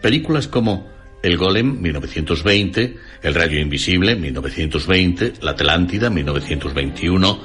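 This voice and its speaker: A man with a Castilian Spanish accent reading a scripted literary radio essay in a formal, measured way. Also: low voice, lively intonation, a bit bright. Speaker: radio documentary narrator. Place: radio studio. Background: faint music.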